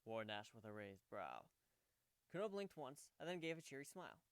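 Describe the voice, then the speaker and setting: A man's voice: mid-range voice, big pitch swings, a little fast, smooth, dry recording. A man narrating fan fiction as a dramatic storybook reading.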